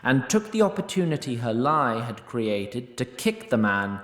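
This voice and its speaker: A man narrating a scripted fantasy story in a measured, dryly wry manner. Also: mid-range voice, very animated, fairly bright tone, echoey room.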